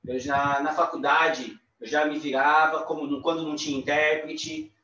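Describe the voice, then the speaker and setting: A man with a Brazilian accent voicing a signed talk, halting, with restarts and tag questions. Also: a little high, flat delivery, bright, forceful tone, a little hiss or hum. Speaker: sign language interpreter. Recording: distant echoey lo-fi livestream audio.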